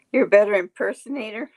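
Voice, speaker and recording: A woman, hosting a casual livestream and chatting with viewers in a folksy way, her voice getting hoarse. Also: medium pitch, darkish tone. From Texas. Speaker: livestream host. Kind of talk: casual chat reading viewer comments.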